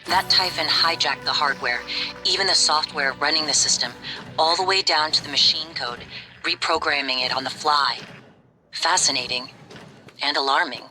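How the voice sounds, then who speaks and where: An actress playing a calm, analytical guide who briefs the player in a video game, measured and clinical. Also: female voice, low-pitched, soft background music. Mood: intrigued, reassuring. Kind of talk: scripted game dialogue.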